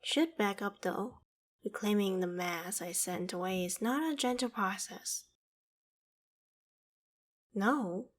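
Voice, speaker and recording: A woman's voice: mid-range voice, slow pace, long pauses. A woman playing a proud, ancient female dragon in an audio roleplay, speaking in formal, archaic phrases with a haughty, theatrical manner that still holds fondness for humans. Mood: haughty, offended. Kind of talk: scripted audio roleplay monologue.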